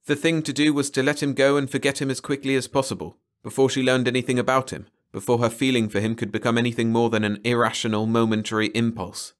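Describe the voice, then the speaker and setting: A man's voice: mid-range voice, little pitch movement, fast, hardly any pauses. Man narrating an audiobook story. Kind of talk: scripted story narration, bedtime read-along.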